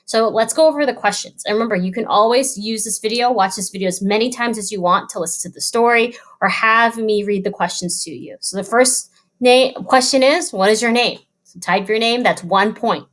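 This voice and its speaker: A woman's voice: a little high, a little fast, a bit bright. A woman schoolteacher giving an informal recorded lesson, talking pupils through questions step by step. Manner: instructive, directly addressing pupils, step by step. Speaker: elementary school teacher.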